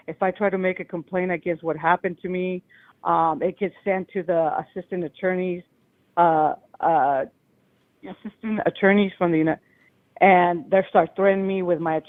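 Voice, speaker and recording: A woman caller with a loud voice, telling a long agitated story over the phone to a call-in show. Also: fairly low pitch. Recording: tinny phone line.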